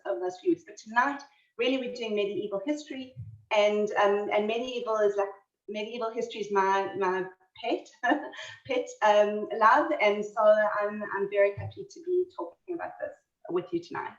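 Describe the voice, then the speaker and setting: A woman with a South African accent giving an online history talk from home, chatty and full of fillers and restarts. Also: fairly high pitch. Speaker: lecturer on history. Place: home, video call. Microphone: computer mic on a video call. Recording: roomy, distant, dull video-call audio.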